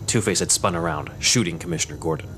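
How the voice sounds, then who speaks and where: A man narrating an audio drama in a steady storytelling delivery. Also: low voice, fast, very noisy.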